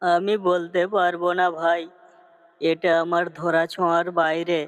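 A man's voice: high-pitched, completely flat delivery, unhurried, a bit bright, smooth, no room sound. A man playing a humble woodcutter father in a cartoon story, earnest and plaintive. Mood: worried, helpless.

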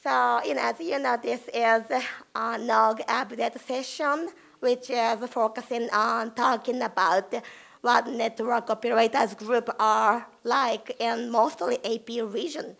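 A woman with a hoarse, lost voice, chairing a conference session in a hall at a table microphone and apologizing as she introduces speakers. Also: on the high side, steady tone, takes it slowly, a bit bright. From Japan. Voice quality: rough, gravelly. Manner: apologetic, chatty with fillers.

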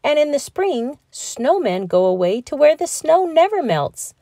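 A woman voicing a kind, cheerful little snowman in a storybook, speaking gently and reassuringly. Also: sits high, very animated, unhurried, no room sound, clean studio sound, pitched above the performer's own voice, full character voice. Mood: gentle.

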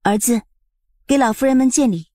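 Woman acting a poised, highish-voiced young mother. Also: normal speed, warm tone, no room sound, studio quality, lightly acted. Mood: courteous. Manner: courteous, pointed. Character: poised young mother, polite but sharp-tongued. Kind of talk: audiobook drama acting.

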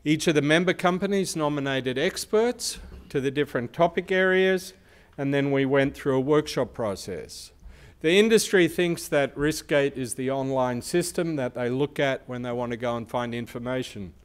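A man's voice: medium pitch, normal speed, clear, resonant tone. Man with a big resonant voice. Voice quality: big loud voice. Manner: explanatory, asks the audience questions. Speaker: research institute speaker. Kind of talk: conference presentation.

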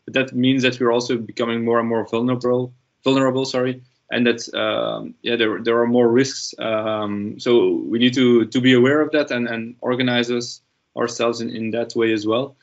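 A man in his sixties speaking as a panelist from a home study over a video call, measured with some fillers. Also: medium pitch, level tone. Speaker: industry panelist. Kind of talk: panel discussion. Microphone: laptop webcam video call. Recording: dull lo-fi video call audio.

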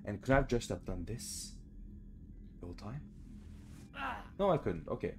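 Low-voiced young man muttering through gameplay. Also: animated, moderate pace, noisy background. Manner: muttering, frustrated, swears freely. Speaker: video game player and commentator. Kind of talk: casual gameplay commentary. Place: indoor room at home.

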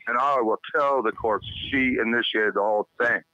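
Male caller, bright forceful voice, bitter ranting delivery, dull recorded phone sound. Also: medium pitch, normal speed, no room sound. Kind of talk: private phone conversation. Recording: muffled recorded phone call.

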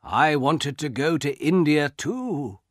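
A man's voice: a little high, animated, slow, dry recording, studio quality, pitched above the performer's own voice. A man voicing a curious, hospitable old father in a storybook, eager and insistent.

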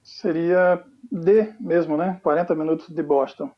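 A man's voice: fairly high pitch, steady tone, measured pace. Brazilian man on a muffled video call. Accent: Brazilian Portuguese. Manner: earnest, hesitant, many fillers. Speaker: guest. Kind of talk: casual video-call conversation. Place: home room with flag behind. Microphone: webcam on video call. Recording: dull lo-fi call audio.

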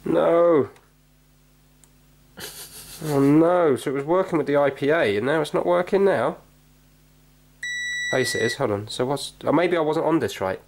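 A man's voice: on the high side, moderate pace, long pauses. A middle-aged British man giving casual, explanatory commentary as he thinks aloud through a hands-on repair. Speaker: repair vlog presenter. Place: indoor room with wooden floor, working at floor level. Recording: clear background noise with clicks.

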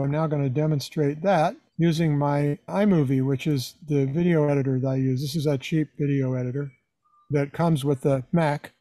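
A man's voice: fairly high pitch, mellow, no echo. An older man giving an informal live tutorial, explaining things in a conversational way. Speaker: presenter, hobbyist teaching video editing.

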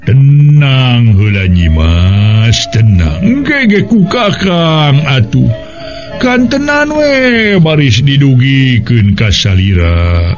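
A man voicing a calm, dignified, affectionate king in a folktale, with drawn-out, stately delivery. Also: medium pitch, highs cut off, soft background music. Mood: calm, indulgent. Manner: slow, drawn-out, stately speech.

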